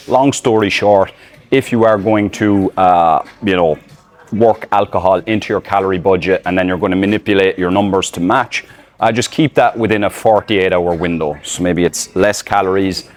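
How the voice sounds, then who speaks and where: Irish male fitness coach, deep low voice, casual selfie-video advice, loose and filler-heavy. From Ireland. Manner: loose, rambling, many fillers and restarts. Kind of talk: casual talking-to-camera advice. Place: outdoors in public, filming selfie-style. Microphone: phone camera in selfie mode.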